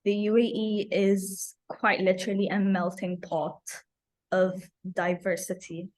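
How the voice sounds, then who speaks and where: A woman's voice: medium pitch, flat delivery, measured pace, darkish tone. A young woman who grew up in the UAE, giving earnest, personal interview answers as a guest activist, repeating phrases for emphasis. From Abu Dhabi, UAE. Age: young adult. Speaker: climate activist, podcast guest.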